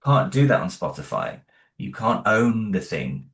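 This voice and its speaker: A British man with a low-pitched voice talks as a guest in a casual interview. He sounds earnest, feeling his way through his thoughts with some hesitation. The recording is noticeably roomy, with echo around his voice. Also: big pitch swings, measured pace, fairly bright tone. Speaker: interview guest, independent audio drama creator. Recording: roomy, echoing room sound.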